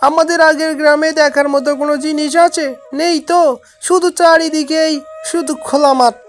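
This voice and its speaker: A woman's voice: high-pitched, steady tone, a little fast, lightly acted. A woman voicing a stubborn, eager young village boy in a cartoon, pleading and petulant. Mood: sulky. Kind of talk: amateur cartoon voice acting. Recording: loud music behind the voice.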